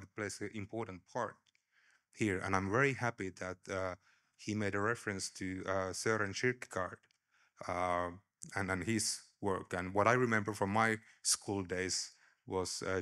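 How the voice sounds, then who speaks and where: A man from eastern Finland with a Finnish accent, speaking as an academic panelist in a halting, reflective, informal way. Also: on the low side, fairly bright tone. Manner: halting, reflective, informal asides with repeated words. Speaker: academic panelist, border studies researcher. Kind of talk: conference talk to an audience.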